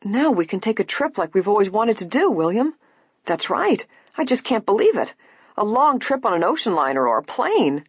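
A woman's voice: on the low side, big pitch swings, measured pace, hardly any pauses, husky, no echo, highs cut off, pitched above the performer's own voice, full character voice. Good-natured, grateful man, voiced by a woman. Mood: pleased surprise. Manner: sincere, wondering. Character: pleasantly surprised, grateful male cousin, good-natured.

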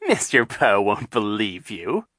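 Villain captain, sneering and scheming, slow menacing delivery, read by a male narrator. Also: on the low side, big pitch swings, fairly bright tone, dry recording.

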